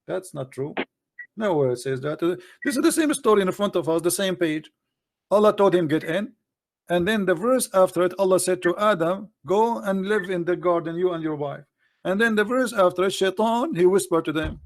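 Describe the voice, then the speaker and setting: A man whose first language is Arabic, debating casually, animated and teasing, pressing his points and pushing his voice up for emphasis. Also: high voice, normal speed.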